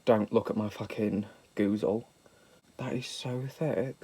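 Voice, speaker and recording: A man's voice: lowish voice, fairly even intonation, measured pace, mellow, slightly rough. Sweary camp British young man. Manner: sweary, camp and self-mocking. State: slightly nasal from a sniffle. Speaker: makeup vlogger. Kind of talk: casual makeup tutorial chat.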